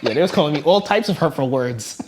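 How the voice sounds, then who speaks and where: A man's voice: a little high, lively intonation, fast talker, over a noisy crowd, low-quality recording. A young man, a content creator, in casual sit-down chat with playful, laughing banter.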